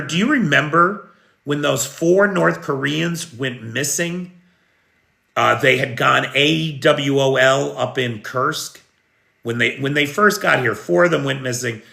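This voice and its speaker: A slow-talking American man hosting a live news stream, with a bright, forceful edge to his voice. He takes his time, answering viewers' comments as he goes. His voice rings in an echoey room. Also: mid-range voice, vocal fry. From United States. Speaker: news livestream host. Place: room in Kyiv during air alert.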